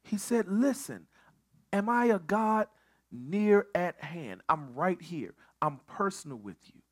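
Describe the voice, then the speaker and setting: A man's voice: on the high side, fairly bright tone. A male pastor preaching at a midweek Bible study, building on repeated phrases and often stumbling over restarts.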